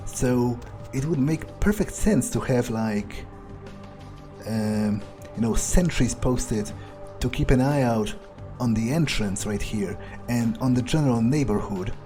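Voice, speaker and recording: A man playing a video game in character, thinking aloud in an unhurried, musing way with trailing pauses. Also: medium pitch, warm tone, soft background music. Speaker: gameplay streamer playing in character.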